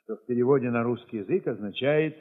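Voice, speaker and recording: A man narrating an audio play in the first person, expressive and theatrical, with emphatic pauses. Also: mid-range voice. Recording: muffled, long reverb, music underneath.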